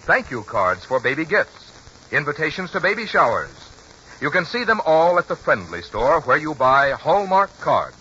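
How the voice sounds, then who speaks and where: Animated vintage radio pitchman. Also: moderate pace. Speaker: announcer. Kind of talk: scripted 1940s radio advertising. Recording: muffled, hissy 1940s radio recording.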